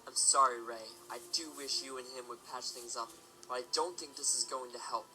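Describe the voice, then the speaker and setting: A man playing a stern, protective pony father in an amateur audio drama, speaking in an admonishing, deliberate way. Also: medium pitch, fairly even intonation, bright, forceful tone, rough recording. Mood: regretful. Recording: faint music behind.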